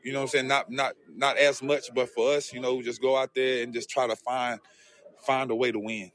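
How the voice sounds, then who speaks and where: A man's voice: mid-range voice, little pitch movement, a little fast, a bit bright, no room sound. A man who plays football, answering interview questions in a loose, rambling way full of fillers and restarts. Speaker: football player.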